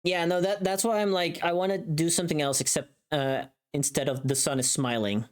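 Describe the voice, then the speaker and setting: A woman's voice: on the low side, steady tone, fairly quick, clean tone. A woman livestreamer chatting casually and informally while she works, thinking aloud.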